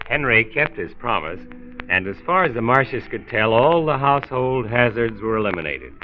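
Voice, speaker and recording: An actor playing a folksy, kindly county doctor who narrates a 1940s radio series. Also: male voice, medium pitch, big pitch swings, moderate pace, faint music behind, lightly acted. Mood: folksy storytelling. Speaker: narrator host. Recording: tinny 1940s radio transcription recording.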